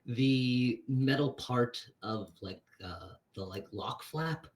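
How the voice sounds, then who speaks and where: A man's voice: mid-range voice, slow pace. A man narrating a tabletop game as game master, describing scenes hesitantly while he searches for words.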